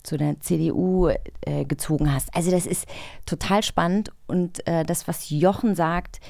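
A woman's voice: fairly low pitch, steady tone, normal speed, vocal fry, dark tone, dry recording, clean studio sound. A woman co-hosting a magazine show, chatting casually with her colleagues. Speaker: co-host of an audio drama magazine show. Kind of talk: casual chat.

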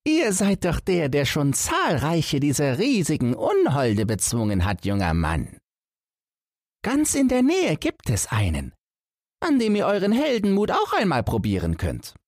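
A kindly old man from a fairy-tale cottage, hospitable and confiding. The voice is pushed far up in pitch and swings widely. He takes his time over the words. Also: wildly swooping pitch, no echo, studio quality, exaggerated voice. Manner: slow, high-pitched old-man storytelling. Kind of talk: fairy-tale audiobook character.

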